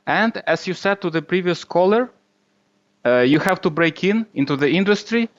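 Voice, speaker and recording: A man with a Ukrainian accent calling in to a show, speaking haltingly and searching for words as he puts his questions. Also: high voice, lively intonation. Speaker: call-in guest. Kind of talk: hesitant conversational questioning. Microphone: internet video or voice call. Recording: dull Skype call audio.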